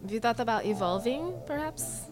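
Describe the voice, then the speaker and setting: A woman's voice: on the high side, fairly quick, over music, natural voice. A woman playing an earnest Slovenian guest on a comedy podcast, speaking formally and literally in a put-on Slovenian accent. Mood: deadpan. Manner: formal, deadpan, oddly literal. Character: earnest Slovenian woman guest who tries to sound important. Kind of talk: improvised comedy character.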